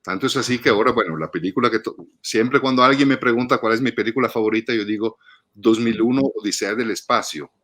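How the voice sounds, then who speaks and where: A man's voice: medium pitch, fast, fairly bright tone. A man in his fifties from northern Italy, speaking reflectively in a casual interview and often repeating words as he thinks. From Padua region, northern Italy. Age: 50s. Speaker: guest, film critic and teacher.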